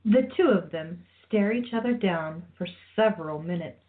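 A woman's voice: fairly low pitch, normal speed, narrow, tinny audio. A woman narrating a radio play, reading scripted storytelling passages.

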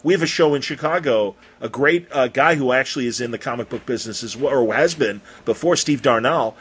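A man's voice: medium pitch, fairly quick, fairly bright tone, husky. An American man hosting a casual interview, talking in a rambling, effusive way. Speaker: podcast interviewer.

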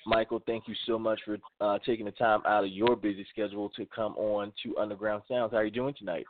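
A man's voice: on the low side, flat delivery, fast. A man hosting an online radio show, welcoming and affirming his guest. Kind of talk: interview hosting. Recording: dry, phone-like sound.

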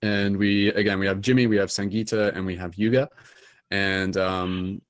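A man's voice: lowish voice, little pitch movement, moderate pace, no room sound. A young man hosting an online dream class, talking loosely with lots of "like" and "um". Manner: rambling, many "like" and "um" fillers. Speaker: host.

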